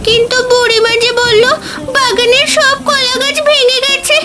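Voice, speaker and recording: A woman's voice: extremely high pitch, steady tone, normal speed. A woman voicing an eager, pleading little village girl in a cartoon fairy tale. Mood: alarmed, insistent. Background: music.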